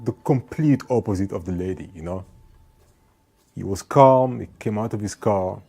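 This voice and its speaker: A man born in France recounting a run-in with police in a conversational, animated way, full of asides to the listener. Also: fairly low pitch, normal speed, creaky, husky. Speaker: vlogger. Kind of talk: storytelling to camera.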